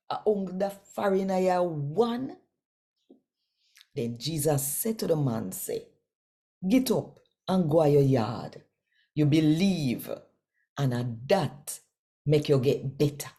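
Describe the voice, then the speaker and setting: Jamaican lady reading bible stories to viewers, low warm voice, takes her time. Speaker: host reading scripture. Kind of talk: expressive scripture reading and direct address to viewers.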